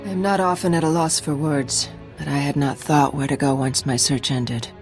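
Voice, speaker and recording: Proud vengeful warrior lady from a game, kinda low voice, formal and intense, music behind. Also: steady tone, normal speed. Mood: solemn, resolved. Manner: formal, solemn, intense phrasing. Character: proud vengeful woman warrior from a slain clan. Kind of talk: dramatic video game acting.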